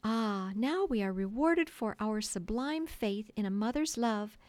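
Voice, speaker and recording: A woman voicing a motherly little girl who tells a story earnestly and grandly, gently scolding and theatrical. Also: fairly high pitch, animated, a little slow, no room sound. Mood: grand, dramatic.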